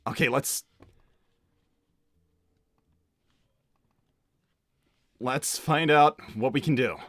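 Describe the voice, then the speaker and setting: A man's voice: medium pitch, normal speed, fairly bright tone, dry recording, clean studio sound. A man playing a casual, awkward young cartoon hero in improvised livestream roleplay. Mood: helpful. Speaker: performer voicing game characters on a fan livestream.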